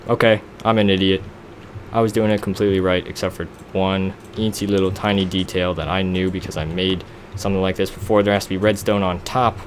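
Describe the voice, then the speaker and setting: A man's voice: deep voice, level tone, a little fast, slight background noise. A young man casually narrating a block-building game, rambling and poking fun at himself while he works things out. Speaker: let's play gamer. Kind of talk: casual gameplay commentary.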